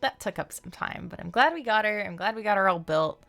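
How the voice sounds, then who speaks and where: A woman hosting a game livestream, chatting casually in an animated, playful, rambling way. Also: mid-range voice, a little fast, gravelly. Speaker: game streamer and host. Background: background music.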